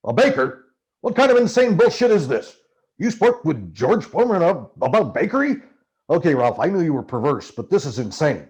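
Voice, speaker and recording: A man's voice: a little high, very animated, normal speed, a little mellow. Man voicing a brusque, cynical journalist, dull recording. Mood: suspicious, incredulous. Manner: brusque, haranguing, skeptical. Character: gruff, profane, cynical male gonzo journalist. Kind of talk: acted audiobook character dialogue.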